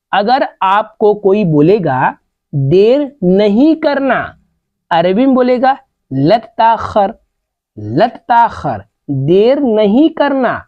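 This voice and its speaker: A woman teaching Arabic phrases in a slow, repetitive vocabulary lesson, saying each phrase several times. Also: mid-range voice, no room sound. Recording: dull, lo-fi sound.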